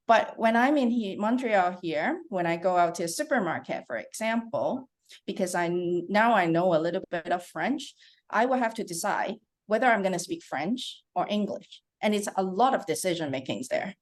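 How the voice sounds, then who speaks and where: A middle-aged woman researcher answering interview questions in a measured, thoughtful way, with frequent pauses and fillers. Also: fairly low pitch.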